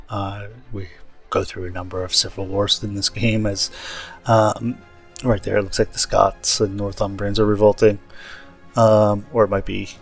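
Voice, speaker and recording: Man, low-pitched, little variation, casual game commentary, explanatory, soft background music. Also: no echo. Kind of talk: casual gameplay commentary recap. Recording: faint music behind voice. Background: faint background music.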